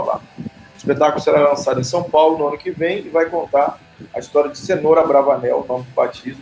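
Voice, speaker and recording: A man's voice: fairly high pitch, a little mellow. A Brazilian man hosting a podcast, talking casually and informally in debate. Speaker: podcast host. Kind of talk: casual debate, reading out news. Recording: roomy echo, faint music behind.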